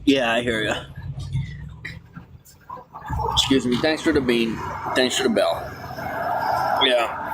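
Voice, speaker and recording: A man's voice: medium pitch, slow pace, long pauses, fairly bright tone, a little hiss or hum, rough recording. Man rambling to his livestream chat. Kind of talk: casual rambling chat, reading and answering messages.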